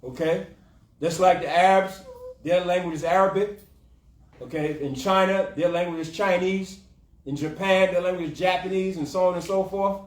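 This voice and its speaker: A man's voice: sits high, fairly even intonation, moderate pace. A man teaching scripture informally as a class leader, repeating his points and checking in with short tag questions. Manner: repetitive, punctuating with "okay" and "huh". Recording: echoey room, distant mic.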